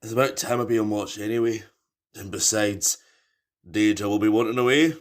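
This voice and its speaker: A man's voice: lowish voice, normal speed, lightly acted. A man playing a wry Scottish survivor. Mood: wry teasing. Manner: blunt, wry, teasing. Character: gruff, teasing male survivor on night watch. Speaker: survivor in zombie audio drama. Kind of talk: audio drama acting.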